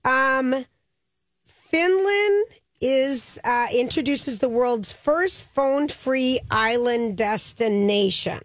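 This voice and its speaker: A woman's voice: sits high, animated, no echo, clean studio sound. Chatty Midwestern woman radio host. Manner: chatty, enthusiastic, quick asides. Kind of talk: casual radio chat and live ad reads. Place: radio studio. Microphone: studio microphone.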